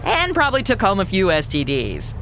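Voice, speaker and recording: A woman's voice: mid-range voice, huge pitch swings, brisk, a bit bright, a little hiss or hum. An actress playing a sassy, outspoken modern woman, sarcastic and indignant, in a comedy audio drama.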